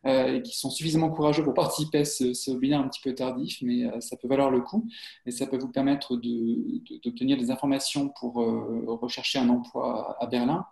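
French guy on a webcam webinar, gravelly voice, roomy echo, keeps stalling on fillers. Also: medium pitch, level tone, darkish tone. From France. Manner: halting, many fillers and repeated words. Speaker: webinar host, job site co-founder. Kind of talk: hesitant live webinar talk. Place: office with a printed wall behind. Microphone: webcam on a video call. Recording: echoey room on a webcam.

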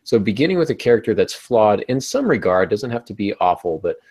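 A man in his thirties with a low voice talks as a podcast host. He explains his points in an instructive way, speaking over a video call from a small home office. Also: sing-song, fairly quick, darkish tone. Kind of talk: explanatory podcast talk on writing.

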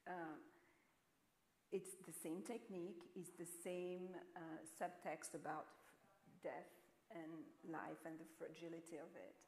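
French-accented woman on a stage panel, lowish voice, talks it through slow and thoughtful, big roomy hall. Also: steady tone, darkish tone, slight background noise. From France. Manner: measured, reflective explaining. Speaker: panelist artist. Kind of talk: panel discussion. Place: stage of a large auditorium. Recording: echoey auditorium sound.

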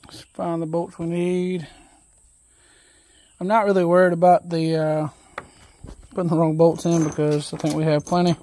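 A man casually walking through an assembly job outdoors, reading steps aloud and thinking out loud in a relaxed, folksy way, recorded on a phone camera held close. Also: a little high, normal speed, pauses often. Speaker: DIY video host. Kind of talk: casual step-by-step assembly walkthrough. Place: outdoors on a wooden deck.

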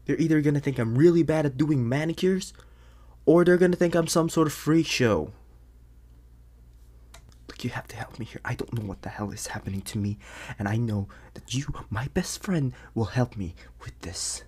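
Man acting a husky, anxious teenage werewolf boy. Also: mid-range voice, darkish tone, close to an everyday voice. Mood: anxious, pleading. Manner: hesitant, halting, often breathy half-whisper. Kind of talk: ASMR audio roleplay.

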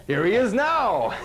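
A man's voice: high-pitched, heavily acted. A man in his thirties playing a friendly janitor hosting a parody children's puppet show, chummy and coaxing. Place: small indoor puppet show set. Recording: noisy old videotape studio sound.

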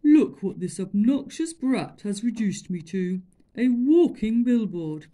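Lady reading as a mopey posh elephant, big and loud, storybook style, drawn-out and dramatic. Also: on the high side, expressive. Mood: indignant. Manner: dramatic, self-pitying and grand.

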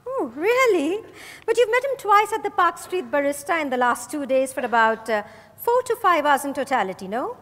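A woman's voice: sits high, sing-song, moderate pace, fairly bright tone. A woman on stage playing a probing, commanding female investigator, with pointed and insistent questioning. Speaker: stage actor. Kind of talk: dramatized play reading on stage. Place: large hotel ballroom stage. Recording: echoey hall from far off.

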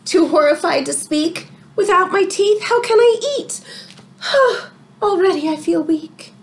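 A woman voicing an anxious, easily horrified little boy in a rhyming picture book, with dramatic drawn-out words. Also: extremely high pitch, voice pushed up. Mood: horrified, panicking.

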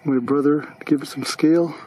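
A man filming a hike and calmly pointing out the views and wildlife from behind the camera on a mountain road. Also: a little high, normal speed, slight background noise, poor audio. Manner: plain, matter-of-fact pointing out of sights. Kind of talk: casual narration behind the camera. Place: outdoors on a mountain forest road. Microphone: camera mic, speaking from behind it.

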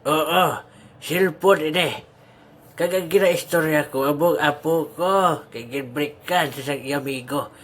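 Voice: medium pitch, measured pace, fairly bright tone. A performer playing a cheeky, easily startled old grandfather with a Filipino accent, stammering through a comic audio drama. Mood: explaining, indignant.

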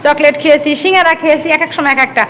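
Female schoolteacher, Bangladeshi accent, very high pitch. Coaxes and instructs small children conversationally, clear background noise of a busy room. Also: steady tone, very fast, fairly bright tone. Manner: coaxing, directing children, often asking questions. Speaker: schoolteacher hosting a class party. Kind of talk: casual chat with young children. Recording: noisy room with children's chatter.

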